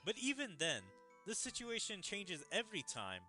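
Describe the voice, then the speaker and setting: A man's voice: sits high, huge pitch swings, moderate pace, pushed and bright, soft background music, full character voice. A man reading an earnest, watchful, experienced dungeon adventurer from a game, carefully explaining. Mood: calm, explaining. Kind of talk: game dialogue read aloud.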